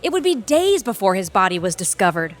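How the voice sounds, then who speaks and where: A woman's voice: mid-range voice, sing-song, fairly quick, lightly acted. A woman playing an intense, bitter, ambitious lawyer and boss in an audio drama, sardonic and emphatic. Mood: cool, scheming. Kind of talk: audio theatre acting.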